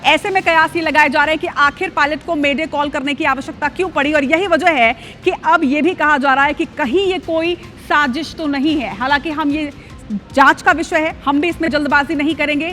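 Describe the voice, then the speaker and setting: A woman presents breaking television news in a high, forceful voice. Her delivery is urgent and emphatic, hammering key points as a live anchor does. Soft music runs faintly behind her. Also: moderate pace.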